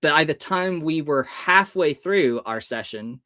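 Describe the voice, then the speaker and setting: Animated American man telling a story. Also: fairly high pitch, unhurried, dry recording, clean studio sound. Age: 30s. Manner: animated, acts out the people in his stories. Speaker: hypnotist guest. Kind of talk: storytelling in an interview.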